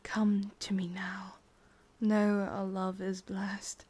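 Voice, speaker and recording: A woman with an English accent playing a sadistic, possessive witch in a hushed, breathy ASMR roleplay, slow and coaxing. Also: mid-range voice, monotone. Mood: tender, incantatory.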